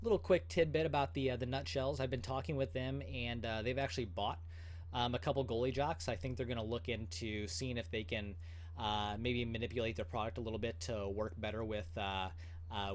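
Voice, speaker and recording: A man's voice: medium pitch, fairly even intonation, brisk, a little hiss or hum. A man in his thirties hosting a casual livestream from home, chatting with many fillers and an upbeat tone. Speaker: goalie channel host.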